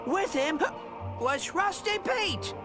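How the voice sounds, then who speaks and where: An actor voicing a boastful, double-crossing in an animated game, with a theatrical, wheedling manner. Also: male voice, extremely high pitch, slow, music in the background, full character voice. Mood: grandiose storytelling. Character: roguish, boastful, double-crossing male pirate robot sidekick. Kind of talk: animated video game cutscene acting.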